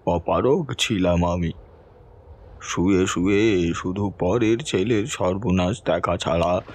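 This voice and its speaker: A man as slow, anguished dying old father. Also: on the low side, darkish tone, husky, heavily acted. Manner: slow, anguished, dramatic pleading. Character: helpless, dying bedridden old father writing a horrified, sorrowful confession. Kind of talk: audio horror story, dramatic first-person reading.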